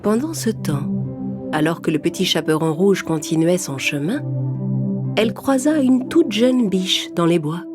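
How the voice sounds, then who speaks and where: Expressive woman storyteller over prominent music. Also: on the low side, measured pace. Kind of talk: scripted children's fairy-tale narration.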